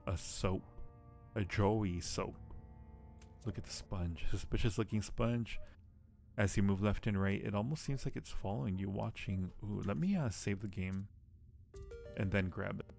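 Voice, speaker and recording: A man's voice: lowish voice, measured pace, creaky, darkish tone. A man hosting a casual game livestream, reading story text aloud and chatting between murmured asides. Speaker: livestream host. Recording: music behind the voice. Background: music.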